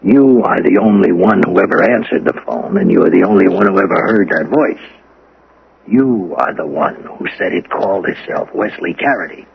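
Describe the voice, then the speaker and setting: A shrewd, folksy police lieutenant in a vintage radio mystery, slyly probing and hesitant. His gravelly voice rises and falls freely, on a dull old broadcast recording. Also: low-pitched, lively intonation, normal speed, a little hiss or hum. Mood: sly, musing. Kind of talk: old-time radio drama acting.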